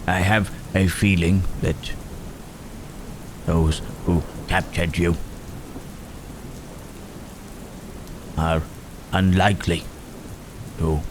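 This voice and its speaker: A brooding, protective monster, acted by a man in an ASMR roleplay, with a very low voice and slow, halting delivery. His phrasing is formal and old-fashioned, thoughtful and gentle. Rain and thunder sound clearly behind him. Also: level tone, noisy background. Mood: pensive, gentle.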